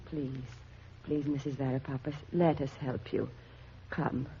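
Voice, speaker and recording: An actor playing a kind, concerned neighbor in a 1940s radio drama, gentle and solicitous. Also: male voice, medium pitch, steady tone, a little slow, natural voice. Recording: muffled, noisy vintage radio recording.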